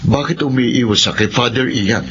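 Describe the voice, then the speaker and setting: A man's voice: mid-range voice, normal speed, a bit bright, natural voice. An actor playing a resentful, authoritative Filipino husband and father in a radio drama. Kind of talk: radio horror drama acting. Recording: dull radio sound, clear noise.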